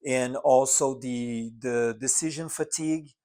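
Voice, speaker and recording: A man's voice: medium pitch, a little slow, warm tone. A man co-hosting a casual podcast in an apartment, talking earnestly and reflectively, often restarting his phrases. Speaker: podcast co-host. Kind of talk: casual chat. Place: apartment living room.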